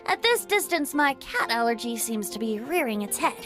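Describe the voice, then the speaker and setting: A woman's voice: on the high side, very animated, moderate pace, over music. A woman voicing a playful young male bard. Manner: theatrical, flowery, giggly. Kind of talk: video game voice acting.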